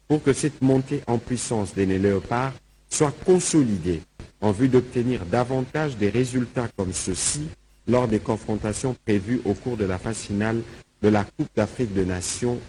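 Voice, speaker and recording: A man reads a formal government report. His voice is notably mellow and dark, at a medium pitch. There is faint noise behind him. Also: poor audio. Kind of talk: reading an official report. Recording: faint background noise and ambience.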